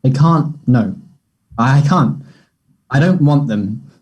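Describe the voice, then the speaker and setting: Anxious young man, husky and soft-toned an actor reading. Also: mid-range voice, expressive. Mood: distressed, ashamed. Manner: hesitant, halting delivery. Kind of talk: play table read.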